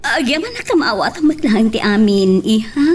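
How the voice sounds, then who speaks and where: An actress playing a gentle, doting middle-aged mother in an Ilocano radio drama. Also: on the high side, very animated, moderate pace, slightly rough. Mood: tender.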